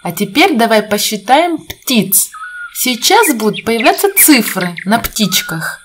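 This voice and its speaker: A woman presents a counting lesson for small children. Her voice is on the high side and swings widely in pitch, and she speaks slowly. She sounds like an encouraging teacher, turning to her young viewers with questions. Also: big pitch swings, noisy background. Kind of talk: educational narration for young children.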